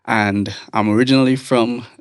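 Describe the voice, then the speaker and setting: A man's voice: mid-range voice, steady tone, moderate pace, clean tone, no echo, clean studio sound. A man in his thirties with a Trinidadian accent, a podcast guest talking in an informal, slangy, appreciative way. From Trinidad and Tobago. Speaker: podcast guest, motivational speaker and coach. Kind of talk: casual chat.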